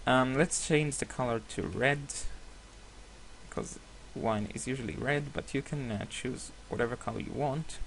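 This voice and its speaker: A man whose first language is Hebrew, giving a screen-recorded software tutorial with many hesitations and self-corrections. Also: mid-range voice, a little slow, creaky, slight background noise. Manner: apologetic, laughs at himself.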